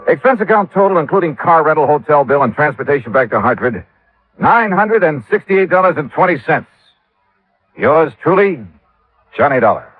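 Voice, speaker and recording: An American actor playing a tough, laconic insurance investigator who tells his case in a clipped, wry 1950s radio detective style. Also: on the high side. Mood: dry narration. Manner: clipped, wry, hard-boiled. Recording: muffled old radio recording, faint music.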